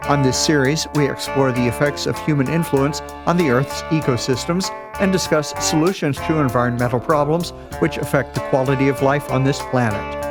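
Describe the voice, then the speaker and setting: Male announcer, formal read, guitar bed underneath. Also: mid-range voice. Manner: formal, measured delivery. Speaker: show announcer. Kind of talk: scripted radio announcement. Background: guitar music.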